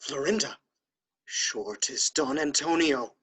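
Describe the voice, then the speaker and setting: A man's voice: medium pitch, takes it slowly, fairly bright tone, breathy edge. A man playing a proud, jealous young nobleman in a period audio drama, with formal, dramatic archaic speech. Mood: indignant.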